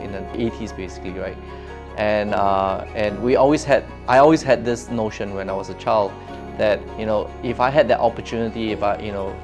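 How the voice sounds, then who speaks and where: A middle-aged man with a Singaporean accent answering interview questions in a halting, rambling, conversational way. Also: on the low side. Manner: halting, rambling, repeats phrases as he goes. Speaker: interview guest, crypto educator and entrepreneur. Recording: background music under speech.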